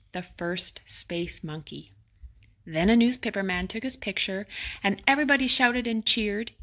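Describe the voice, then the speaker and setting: A woman narrating a children's bedtime picture book expressively, with dramatic emphasis on exciting moments. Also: mid-range voice.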